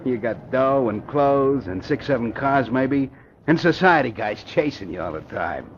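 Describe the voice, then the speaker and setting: Cocky big-shot mobster man acting, slangy tough talk, animated pitch, dull noisy old radio crime show. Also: unhurried, natural voice. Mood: boastful wooing. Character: cocky thick-headed big-shot gangster, boastful tough guy.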